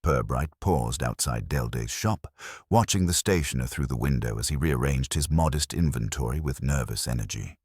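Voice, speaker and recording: A man narrates a detective story in a very deep voice. His delivery is measured and literary, yet the pitch moves about freely. The sound is dry and close. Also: expressive, a little mellow, husky, no echo. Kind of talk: scripted detective audiobook narration.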